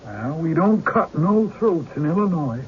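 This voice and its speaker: A man's voice: a little high, big pitch swings, unhurried. An actor playing a decent, folksy country man with neighbourly talk in an old-time radio drama. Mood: friendly, generous. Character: George, decent, easygoing country man owed money. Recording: noisy, dull lo-fi radio recording.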